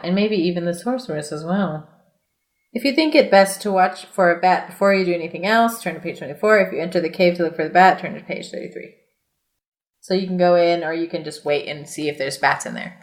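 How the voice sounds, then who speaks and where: A woman podcast host reading a storybook aloud in an expressive way, with casual, playful asides. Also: lowish voice, a little fast, reverberant. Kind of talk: storybook read-aloud mixed with casual chat.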